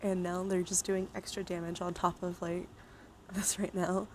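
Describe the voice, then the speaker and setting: A woman's voice: lowish voice, little pitch movement, normal speed, slight background noise. A woman hosting a livestream, chatting casually with viewers in a playful, giggly way and swearing freely. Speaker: livestream host. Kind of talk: casual livestream chat with viewers during a dice game.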